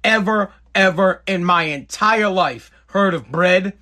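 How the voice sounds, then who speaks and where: A man rants on his own live podcast, blunt and profane, repeating himself as he goes. His voice sits high for a man. It has a bright, forceful edge. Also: takes it slowly. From Boston area, New England. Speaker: podcast host. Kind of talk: live-streamed podcast rant.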